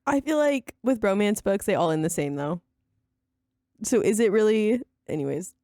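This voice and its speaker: A woman's voice: medium pitch, animated, moderate pace, dark tone, no room sound, clean studio sound. A woman chatting casually as co-host of a book podcast. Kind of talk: casual chat.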